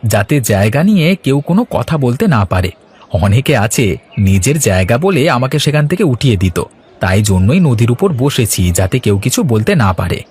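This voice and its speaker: A man playing an eager, husky young villager. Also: medium pitch, very animated, a little fast, darkish tone. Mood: eager, earnest. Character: eager, enterprising young Bengali village food seller. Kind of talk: animated folk-tale cartoon dubbing.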